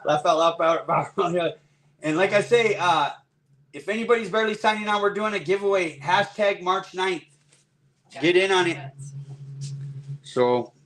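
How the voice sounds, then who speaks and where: A man's voice: sits high, moderate pace, pauses often, a bit bright, rough recording. A man hosting a live custom paint demo in his shop, folksy and easygoing, thinking aloud while he works.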